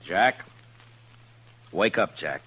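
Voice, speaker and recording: Old radio western lawman, low voice, understated and a bit halting, slightly noisy recording. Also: animated, fairly bright tone, highs cut off, heavily acted. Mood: calm, firm. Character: steady, conflicted frontier lawman, a grown man. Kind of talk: old-time radio western drama acting.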